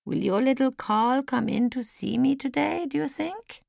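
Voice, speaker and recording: A woman voicing a frail, gentle, wistful old lady in an audiobook, speaking slowly and very politely. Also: high voice, animated, creaky, dry recording, clean studio sound, pitched above the performer's own voice, exaggerated voice. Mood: anxious, hopeful. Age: older. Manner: slow, deliberate, polite. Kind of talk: audiobook character acting.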